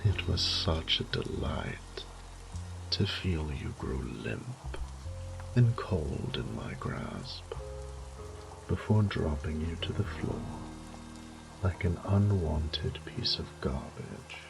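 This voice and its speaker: A man playing a sadistic, condescending vampire lord in a roleplay audio drama, theatrical and taunting, with breathy whispered phrases. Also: rumbling low, expressive, slow, music in the background, exaggerated voice. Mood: cold, savoring.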